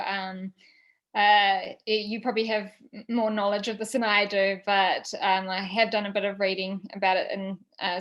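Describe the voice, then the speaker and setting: A woman from New Zealand, a seminar presenter answering questions thoughtfully on a video call, with light laughs and many pauses. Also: mid-range voice, a bit bright. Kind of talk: academic Q&A discussion.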